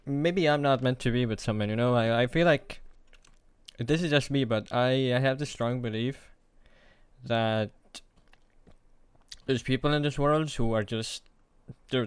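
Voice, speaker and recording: A young man in his late teens talking alone to the camera in a hesitant, halting, self-deprecating way, as a confessional vlog. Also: mid-range voice, clean tone, no room sound. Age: young adult. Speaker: vlogger.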